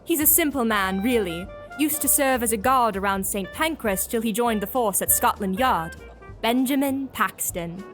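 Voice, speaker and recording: A woman's voice: on the high side, animated, normal speed, close to an everyday voice. An actress playing a refined, gossipy British society woman in an audio drama, coy, saucy and confiding. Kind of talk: scripted audio drama acting. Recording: music behind the voice.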